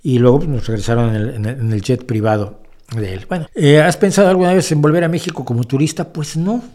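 A man's voice: medium pitch, fast talker, mellow. A man hosting a show, answering viewer questions in a casual, rambling monologue full of restarts and emphatic opinions. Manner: rambling, with frequent restarts and self-corrections.

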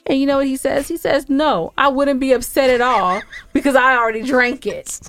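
A woman's voice: sits high, fairly even intonation, normal speed, a bit bright, no echo. A woman co-hosting a podcast in casual, playfully argumentative banter, speaking with emphasis. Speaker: podcast co-host. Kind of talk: casual bantering chat with husband.

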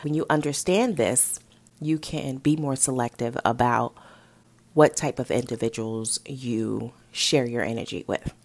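A woman hosting a mental health podcast, a little raspy and nasal from a head cold, teaching in an upbeat, encouraging way. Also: rumbling low, unhurried, a little mellow, no echo. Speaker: podcast host. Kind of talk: podcast education talk.

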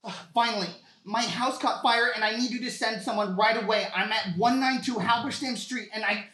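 A woman's voice: fairly high pitch, brisk, fairly bright tone, lightly acted, rough recording. A woman voicing a frantic, exasperated homeowner calling for urgent help in a comedy sketch read.

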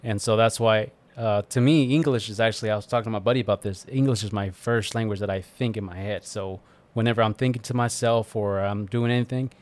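Easygoing man, born in Mexico. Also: fairly low pitch. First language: Spanish. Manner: easygoing, halting with fillers. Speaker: podcast guest. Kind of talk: casual podcast chat.

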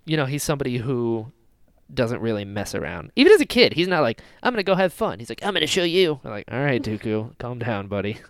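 A man co-hosting a casual fan discussion, animated and jokey, acting out quotes as he goes. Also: mid-range voice, quick pace, no echo, clean studio sound. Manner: animated, jokey, mimics characters in exaggerated voices. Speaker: podcast co-host.

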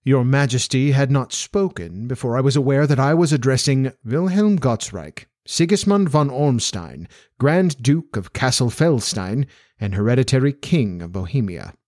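Cool male detective played by a man reading, languid, incisive, dry wit, clipped, assured, storybook, mid pitch, dry room. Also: lively intonation, moderate pace, darkish tone, dry recording, close to an everyday voice.

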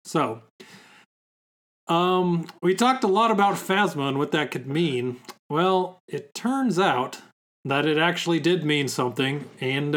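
A young man co-hosting a podcast in casual chat, slightly hoarse, laid-back and joking. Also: on the high side, a bit bright. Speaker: podcast co-host.

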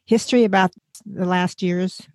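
A woman hosting an interview podcast, reading a scripted intro and asking questions in a measured way. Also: medium pitch, steady tone, no echo. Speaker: podcast host.

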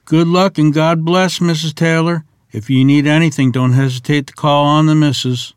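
A man's voice: on the high side, fairly even intonation, normal speed, smooth, no room sound, studio quality, lightly acted. A man acting a courteous, neighborly small-town man of the 1920s in a screenplay table read, folksy and polite.

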